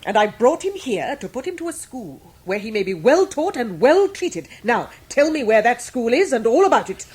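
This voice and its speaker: Older English great-aunt, blunt but kindly, lively swooping delivery, husky voice, commanding, radio play. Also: female voice, fairly high pitch, normal speed, few pauses. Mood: businesslike. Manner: brusque, emphatic, commanding. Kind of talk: 1990s British radio drama acting.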